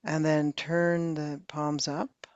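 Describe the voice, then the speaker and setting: Slow, soft-spoken woman guiding meditation. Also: sits low, no echo, lo-fi sound. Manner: slow, soft and calm, with long pauses. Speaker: meditation teacher. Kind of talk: guided meditation.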